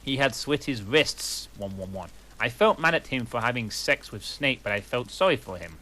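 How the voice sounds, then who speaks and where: A man's voice: mid-range voice, expressive, moderate pace, bright, forceful tone. Man giving a mock-earnest reading. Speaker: reader. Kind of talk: comic dramatic reading. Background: fireplace crackle.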